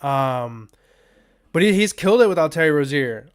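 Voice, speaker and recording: Casual male podcast host, slightly raspy voice. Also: on the high side, animated, normal speed. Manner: rambling, loose, filler-heavy asides. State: under the weather, coughing. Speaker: sports betting podcast host. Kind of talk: casual unscripted betting picks talk.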